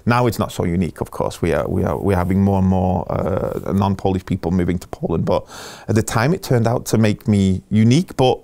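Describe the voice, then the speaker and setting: Middle-aged man, a somewhat low voice, animated storyteller who acts out his quoted dialogue. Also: fairly quick, creaky, hardly any pauses. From England. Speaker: guest. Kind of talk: casual interview conversation.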